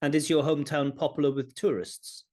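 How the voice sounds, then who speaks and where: A man hosting a practice speaking test, asking exam questions in a measured, formal way over a video call. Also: a little high, level tone, darkish tone. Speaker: IELTS examiner and channel host.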